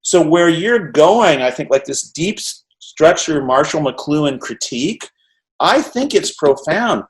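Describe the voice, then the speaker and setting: A middle-aged man with a fairly high voice, hosting a conversation over a video call. He talks in quick, rambling bursts, laughing and tossing in "right?" to keep his guest with him. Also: lively intonation, low-quality recording. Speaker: interview host. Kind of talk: animated casual conversation.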